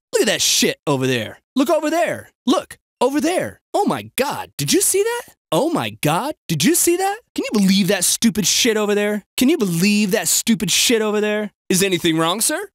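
A man's voice: high-pitched, no room sound, studio quality, heavily acted. An actor playing a snarky, crude, jumpy young male bystander in a video game, with a sarcastic, exaggerated delivery. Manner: sarcastic, exaggerated, swinging from flat to frantic.

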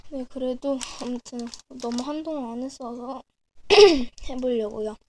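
A young woman with a cold, hoarse and clearing her throat often, chatting casually as she shows her handmade miniature crafts at a desk. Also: high voice, a little slow, heavy background noise. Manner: chatty, frequent throat clearing and self-corrections. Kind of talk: casual show-and-tell narration. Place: small indoor room at a desk. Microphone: camera over a desk, speaker off screen.

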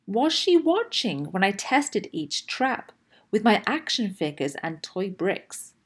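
Animated, unhurried woman reading a children's story. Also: mid-range voice, husky. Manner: animated, deliberate delivery with emphatic phrasing. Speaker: host and children's storybook reader. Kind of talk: expressive read-aloud storytelling for children.

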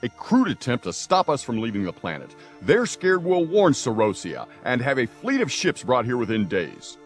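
A man's voice: mid-range voice, wildly swooping pitch, moderate pace, faint music behind. A man playing a stern, pompous, unstable military lieutenant in an audio drama. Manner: severe, pompous, commanding. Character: stern, unstable male lieutenant obsessed with traitors.

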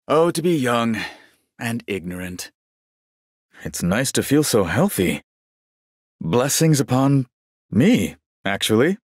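A man voicing a genial, vain old warrior monk in a video game, speaking in a measured, courtly and wryly pious manner. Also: mid-range voice, sing-song, husky, dry recording, studio quality, heavily acted. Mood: wry, pleased. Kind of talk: scripted game character voice lines.

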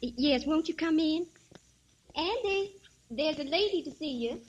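Ruby, a kind, higher-voiced homemaker. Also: female voice, expressive, a little slow, dull audio. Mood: kind, helpful. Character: kind, agreeable homemaker woman named Ruby. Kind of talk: 1940s radio comedy acting.

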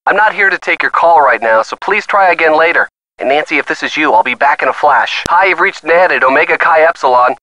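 Bright, lively college guy. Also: on the high side, fairly quick, no room sound, rough recording. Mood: playful. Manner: chummy, encouraging. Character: friendly, supportive college-age boyfriend of a detective. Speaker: video game voice actor. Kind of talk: video game phone dialogue.

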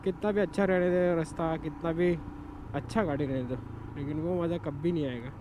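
A man's voice: a little high, fairly even intonation, brisk. A man from India vlogging his motorcycle trip in casual talk that slips into English phrases, recorded outdoors by the road. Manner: mixes English time checks into casual Hindi. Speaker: motovlogger. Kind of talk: casual travel vlog narration. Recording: noisy roadside recording.

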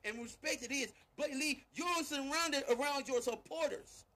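A man's voice: extremely high pitch, moderate pace, sharp tone, a little hiss or hum. A man arguing heatedly and confrontationally in a casual online live-stream chat, repeating himself for emphasis.

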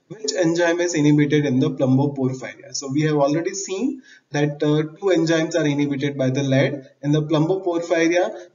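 A man's voice: on the high side, a little mellow. A man in his thirties giving a slide-based medical lecture, explaining step by step and repeating key terms. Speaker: biochemistry lecturer. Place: indoor room, webcam inset. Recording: slightly dull lo-fi audio.

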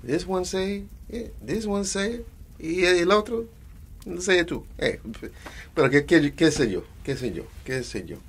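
Man playing a gravelly old Spanish-accented grandpa. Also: high voice, animated, moderate pace, pitched above the performer's own voice, heavily acted. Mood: admiring, musing. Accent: Spanish-accented English. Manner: rambling, repeats phrases, slips between English and Spanish. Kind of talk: ASMR bedtime storytelling roleplay.

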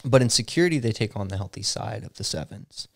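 Young man, gravelly low voice, podcast co-host, chatty and animated, dry close mic. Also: fast talker, dry recording. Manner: chatty, animated, laughs easily, says "right?" often. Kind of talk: casual chat. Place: small home studio room. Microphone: boom-arm podcast mic.

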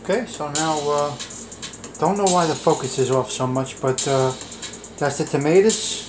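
A man's voice: on the high side, moderate pace. A man giving a casual cooking walkthrough in his home kitchen, chatting through his ingredients with fillers as he films overhead on a phone. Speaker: home cook vlogger. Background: music.

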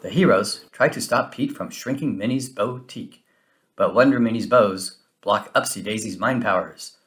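A man reading a children's story aloud in an expressive, animated way with dramatic emphasis. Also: lowish voice, normal speed. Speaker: storybook reader. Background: music.

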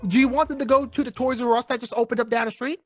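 A man chatting and joking through a video game in a silly, excitable, exclamatory way. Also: very high-pitched, racing, soft background music. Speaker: gamer in a group gameplay video. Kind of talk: casual joking gameplay chat.

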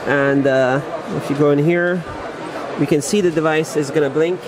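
A man's voice: on the high side, fairly even intonation, moderate pace. A man asks casual, on-the-spot product questions as a video interviewer, in clipped and simplified English. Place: indoor exhibition hall booth. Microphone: behind the camera, on-camera mic. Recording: busy trade show crowd noise.

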